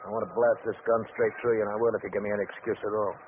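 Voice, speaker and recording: A man's voice: lowish voice, flat delivery, very fast, close to an everyday voice. An actor playing a surly, threatening gangster gunman with blunt slang, in a 1940s radio drama. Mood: menacing. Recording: noisy, tinny 1940s radio recording.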